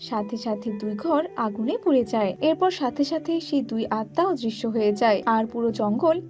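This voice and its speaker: A woman's voice: a little high, animated, a little fast, darkish tone. A woman narrator reading a scripted storybook tale for a cartoon. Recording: muffled audio with background music.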